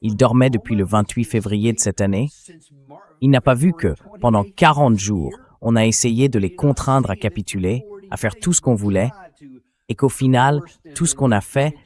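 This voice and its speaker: A male host delivers news commentary as a French voice-over, with a mid-pitched voice. He argues critically and rhetorically, with an incredulous edge. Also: a little mellow.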